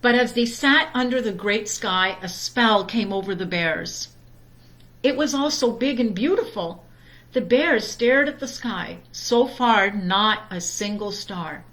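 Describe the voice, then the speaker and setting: Slow, expressive woman reading a storybook. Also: medium pitch, poor audio. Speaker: storytime reader. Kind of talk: children's storybook read-aloud.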